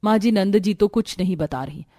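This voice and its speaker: A woman's voice: medium pitch, normal speed, warm tone, natural voice. A woman voicing a polite, concerned young sister-in-law in a cartoon story. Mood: earnest.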